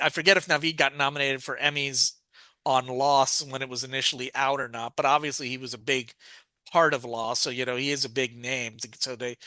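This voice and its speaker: Guy podcast host, crisp clear voice, dry close sound, rambles n restarts his sentences, chatty review. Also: mid-range voice, bright, forceful tone, dry recording. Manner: halting, rambling, repeats and restarts phrases. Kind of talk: casual review chat.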